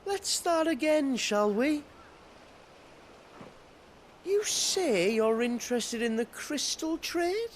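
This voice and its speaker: An actor playing a cocky, glib rogue posing as a merchant in a game cutscene, speaking in a sardonic, smooth-talking manner. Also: male voice, very high voice, animated, takes it slowly, pauses often, slight background noise, exaggerated voice. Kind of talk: acted game cutscene dialogue.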